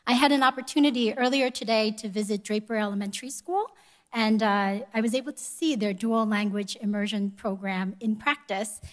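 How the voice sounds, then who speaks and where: A woman delivering a prepared speech at a conference in a measured, polite and formal manner. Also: mid-range voice. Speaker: conference speaker, education organization executive. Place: live event room before an audience.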